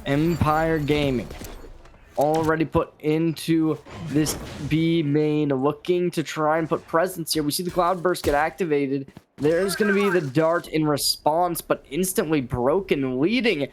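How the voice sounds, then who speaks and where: Higher-pitched male esports analyst, noisy feed. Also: measured pace. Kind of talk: live esports analysis commentary.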